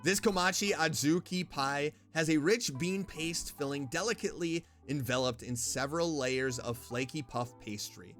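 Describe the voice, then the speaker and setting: An upbeat male streamer reviewing sponsored snacks in a chatty, casual way. His voice is bright and forward and sits a little high for a man. Faint music plays underneath. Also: moderate pace, hardly any pauses. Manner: upbeat and chatty, reading ad copy in between.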